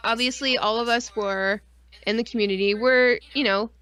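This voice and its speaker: A woman's voice: on the high side, fairly even intonation, moderate pace, a bit bright, smooth. Casual, rambling woman co-host. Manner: rambling and informal, frequent 'like' and mild swearing. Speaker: podcast and stream co-host. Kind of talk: casual chat.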